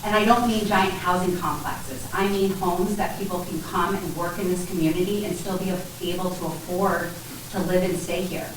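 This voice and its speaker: A middle-aged woman, a realtor speaking loudly and directly at a public panel. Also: lowish voice, fairly even intonation, moderate pace. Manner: loud, direct. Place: library meeting room. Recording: echoey room, far from mic, noisy.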